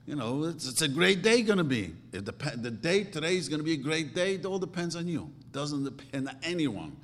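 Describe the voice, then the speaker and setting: A man's voice: very animated, brisk, long reverb tail. Man, preaching. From grew up speaking Yiddish and Hungarian. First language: Yiddish. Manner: emphatic, swings from low asides to loud outbursts. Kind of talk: sermon.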